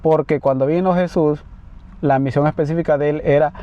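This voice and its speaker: A man preaching a sermon, reading scripture slowly and answering his own questions. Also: fairly high pitch, fairly even intonation. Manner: asks questions and answers them himself; slow, deliberate reading of scripture. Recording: slightly dull, lo-fi sound.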